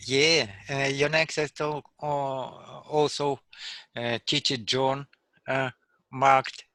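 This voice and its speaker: Very slow-talking man on a call. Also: medium pitch, level tone, sharp tone, dry recording. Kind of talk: casual chat in an online group call.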